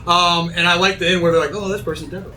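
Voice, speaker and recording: A man's voice: sits high, fairly even intonation, quick pace, bright, forceful tone, recorded from a distance, soft background music, poor audio. A man hosting a casual panel chat, rambling and slipping into acted-out bits of dialogue. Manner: rambling, acts out imagined dialogue. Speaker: host of a panel discussion. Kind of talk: casual chat about video game films.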